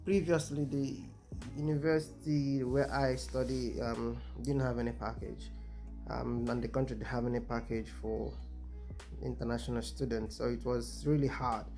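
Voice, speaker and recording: A man from Nigeria, a PhD student, telling his own story in a hesitant way with many pauses and ums. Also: medium pitch, flat delivery, darkish tone, faint music behind. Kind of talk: personal testimony.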